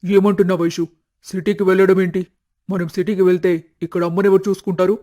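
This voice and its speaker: A man's voice: high voice, flat delivery, fairly quick, dark tone, lightly acted. A man voicing an earnest young son. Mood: puzzled. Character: earnest young man, devoted to his mother.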